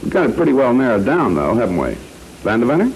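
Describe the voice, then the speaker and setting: Lively old-time radio quiz host, man. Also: mid-range voice, quick pace. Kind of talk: 1940s radio quiz hosting, unscripted banter. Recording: noisy vintage radio broadcast.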